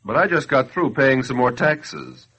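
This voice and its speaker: A man's voice: mid-range voice, lively intonation, unhurried, pushed and bright, full character voice. An actor playing an ordinary male citizen, reluctant and defensive about money, in conversational 1940s radio acting. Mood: protesting. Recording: dull lo-fi 1940s radio recording.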